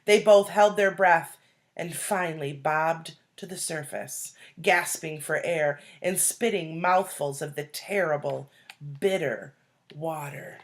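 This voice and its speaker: A woman reading a children's storybook aloud like a teacher, deliberately, pausing to explain and put questions to young listeners. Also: deep voice. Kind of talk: expressive storybook read-aloud with asides and questions.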